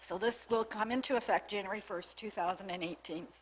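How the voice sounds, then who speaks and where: A woman's voice: medium pitch, brisk, breathy edge, low-quality recording. A woman on a town's staff, losing her voice, giving a formal, methodical briefing on new legislation to a council meeting in a council chamber, reading out clauses with small restarts. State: losing her voice, with frequent coughs. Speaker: municipal staff member presenting to town council.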